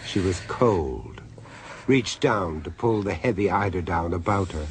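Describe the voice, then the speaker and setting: A man narrating a horror radio drama in a measured, sly storytelling manner. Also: deep voice. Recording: faint hiss of old radio tape.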